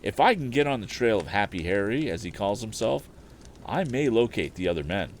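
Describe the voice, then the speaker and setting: A man's voice: on the low side, sing-song, fairly quick, a little hiss or hum. A man voicing an earnest, determined young male inventor in a storybook reading, eager as he explains. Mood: eager, explaining. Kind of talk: acted audiobook dialogue.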